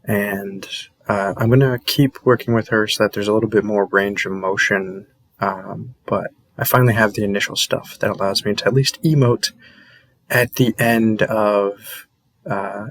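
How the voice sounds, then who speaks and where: A man who runs a channel, chatting informally and a little haltingly to his viewers in a casual outro. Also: fairly low pitch, fairly bright tone, dry recording. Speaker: channel creator thanking viewers.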